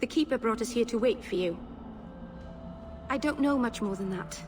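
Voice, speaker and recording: A woman's voice: a little high, lively intonation, moderate pace, music in the background, natural voice. A woman voicing an earnest young female elf mage in a video game, hesitant and apologetic, tending to babble and interrupt herself. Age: young adult.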